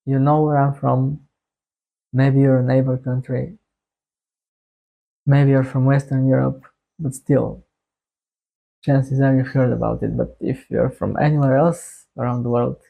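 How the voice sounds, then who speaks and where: A young man from Bulgaria with a Bulgarian accent, talking casually to his viewers in a halting, hesitant way. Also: medium pitch, little pitch movement, creaky, phone-quality sound. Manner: halting, hesitant delivery with long phrasing breaks. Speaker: YouTuber introducing a music channel. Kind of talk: casual to-camera monologue.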